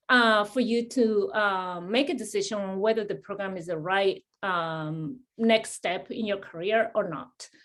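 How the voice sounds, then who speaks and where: A middle-aged woman from Spain with a Spanish accent, hosting an admissions webinar in a friendly way, with many fillers and restarts. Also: medium pitch. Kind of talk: webinar presentation.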